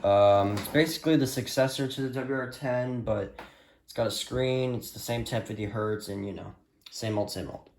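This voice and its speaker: A man's voice: medium pitch, normal speed, a little mellow, a little hiss or hum. A man giving a casual, rambling show-and-tell as a hobbyist, offhand and hesitant, sometimes muttering to himself. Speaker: hobbyist showing a radio collection.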